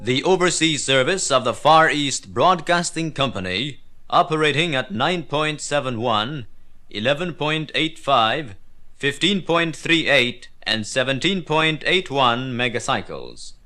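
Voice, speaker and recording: A male overseas service announcer with a bright, forceful voice and animated intonation. He reads formal station identifications on a restored 1960s shortwave recording. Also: medium pitch, a little slow.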